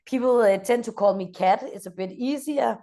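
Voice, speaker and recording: A woman from Denmark in her thirties with a Danish accent, speaking as an energetic company founder in an interview and pausing often on "uh". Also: on the high side. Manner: energetic, frequent "uh" hesitations. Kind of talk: interview conversation.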